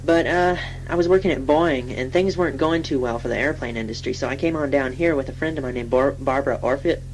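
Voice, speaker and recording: A woman's voice: rumbling low, fast talker, a little mellow, poor audio. A woman playing a chatty, self-deprecating young station typist, improvising a rambling, giggly self-introduction in colloquial speech. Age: young adult. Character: chatty, self-deprecating twenty-six-year-old female station typist. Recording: faint hum and noise.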